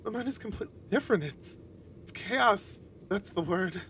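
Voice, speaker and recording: Male game streamer, slightly high voice, narrates story text with casual profane asides, background noise. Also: lively intonation, normal speed, fairly bright tone. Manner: swears casually. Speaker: streamer reading a visual novel aloud. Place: home streaming setup, livestream.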